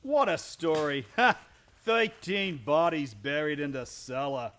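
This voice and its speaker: A man's voice: fairly high pitch, lively intonation, measured pace, a bit bright. Guy doing a blustery scoffing cop boss, brash, voice swinging up and down, radio play. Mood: scoffing, amused. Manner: brash, slangy. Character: gruff, blustering, scoffing police lieutenant. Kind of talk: stage comedy radio play acting.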